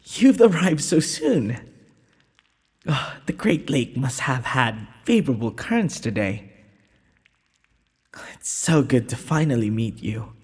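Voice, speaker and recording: A man playing a gentle, courteous young prince in a romantic audio roleplay, speaking formally and a little hesitantly. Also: medium pitch, sing-song, slow pace, long pauses, heavily acted. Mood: pleased, welcoming. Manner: formal, polite, breathy speech with hesitations. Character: gentle, courteous young underground prince, nervous, earnest suitor. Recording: long hall-like reverb.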